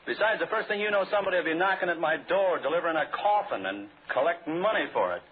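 A tired, wisecracking American radio actor in a 1940s drama. His voice sits a little high and swings expressively as he gripes in slang. The sound is narrow and faintly hissy, like an old broadcast. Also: animated, fast talker, husky. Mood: weary, sardonic. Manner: griping, slangy, sardonic.

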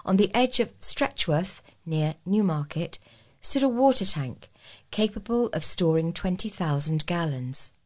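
A woman's voice: lowish voice, expressive, measured pace. A woman reading scripted local news as a volunteer reader.

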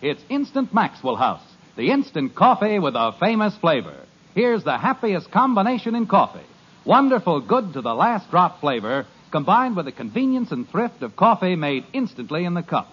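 A man's voice: sits high, very animated, normal speed, fairly bright tone, slightly rough. A man announcing and reading coffee ads on a 1950s radio show, with a polished, emphatic sales delivery. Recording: dull lo-fi 1950s radio recording.

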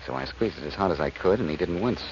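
A man's voice: sits low, animated, fast. A man acting a wry, confident detective in 1940s American radio style. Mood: shrewd, intent. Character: wry, quick-witted male amateur detective, confident and pressing. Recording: muffled, noisy old radio recording.

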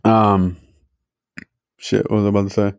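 A man's voice: on the low side, little pitch movement, normal speed, vocal fry, no room sound, studio quality. A young man chatting casually as a podcast host, rambling and losing his train of thought. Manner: rambling, loses train of thought, many fillers. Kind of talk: casual chat.

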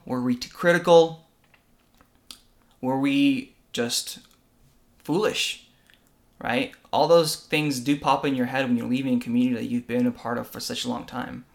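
A man talking through church tradition in a thoughtful, explanatory way. He keeps checking in with his co-host with a quick "right?" His voice sits at an ordinary middle pitch. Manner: earnest, explanatory, asks rhetorical "right?". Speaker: podcast co-host. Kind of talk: conversational discussion on faith and church.

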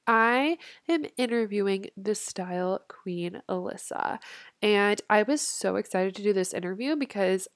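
A woman's voice: mid-range voice, a little slow, dry recording, studio quality. A young woman in her twenties hosting her podcast, sounding nasal and stuffy from a cold, chatting in a bubbly, casual way and swearing freely. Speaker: podcast host and manifestation coach. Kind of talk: casual solo podcast chat.

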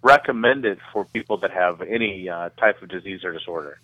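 Low male guest on a phone line. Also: fairly quick, no echo. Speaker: interview guest, researcher. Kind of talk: explanatory interview talk.

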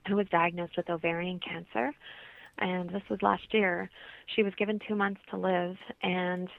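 A woman's voice: fairly low pitch, level tone, normal speed, vocal fry, no echo. A woman guest in a radio interview, speaking conversationally as a healer and explaining things earnestly. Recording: tinny phone line.

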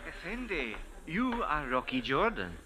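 A mystical street sand diviner. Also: male voice, on the high side, sing-song, measured pace. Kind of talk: 1940s radio acting.

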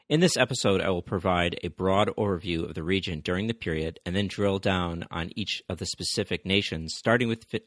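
A man's voice: low-pitched, a bit bright, dry recording, clean studio sound. A man hosting a history podcast, reading a scripted narration steadily, with the odd stumble and restart. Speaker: podcast host.

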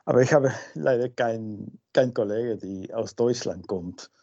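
Slow, halting man with Peruvian Spanish accent. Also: mid-range voice, creaky, mellow. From Peru. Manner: halting, searching for words. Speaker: interview guest. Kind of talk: informal interview answers in a learned language. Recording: dull lo-fi sound.

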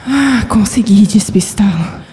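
A woman's voice: mid-range voice, animated, measured pace, warm tone, long reverb tail, faint music behind, natural voice. A woman playing a fearful, lovestruck fugitive from olden times with a Brazilian accent, speaking formally and breathily in a period audio drama. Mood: urgent, hushed.

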